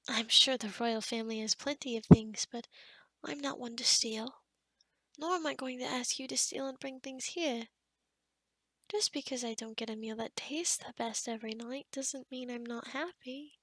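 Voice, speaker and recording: A woman playing a kind, sheltered young forest witch in a soft-spoken, half-whispered ASMR roleplay, gentle and hesitant with small stammers. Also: sits high, moderate pace, no echo. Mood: warm, content. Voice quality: breathy, whispery.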